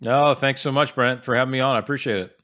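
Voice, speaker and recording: A man's voice: mid-range voice, steady tone, fast, bright, forceful tone, dry recording, studio quality. A man in his forties from the United States, a golf professional telling stories as a podcast guest, with lots of restarts and fillers. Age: 40s. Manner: chatty storyteller with frequent restarts and fillers. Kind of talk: casual podcast interview.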